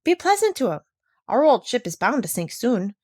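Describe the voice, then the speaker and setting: A woman voicing a wise male owl who gives advice in a hushed, urgent way in a storybook reading. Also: on the high side, very animated, moderate pace, husky, no room sound, pitched above the performer's own voice.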